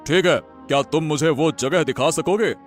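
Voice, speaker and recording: A man's voice: a little high, moderate pace, faint music behind, close to an everyday voice. A man voicing a trusting, regal king who speaks earnestly in a moral-story cartoon. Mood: suspicious. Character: trusting, grateful fairy-tale king. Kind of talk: animated Hindi moral-story dialogue.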